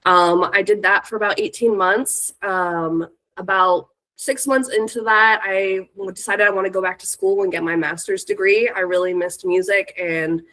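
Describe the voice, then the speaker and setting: A woman's voice: mid-range voice, fairly even intonation, moderate pace, a bit bright, rough recording. An American woman in her thirties with a stuffy, congested voice from a cold, giving an informal, chatty talk about herself as a guest speaker. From Northern California, United States. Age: 30s. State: sick with a cold. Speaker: guest speaker, music industry professional.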